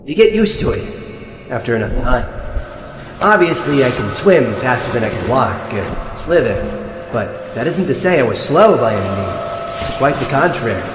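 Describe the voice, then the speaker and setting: A man's voice: medium pitch, very animated, normal speed, noisy background, close to an everyday voice. A man voicing a sardonic mutant sea-creature captive in a fan comic dub, with theatrical, drawling sarcasm. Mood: smug, sly. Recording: echoey, long reverb, loud music behind. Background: music.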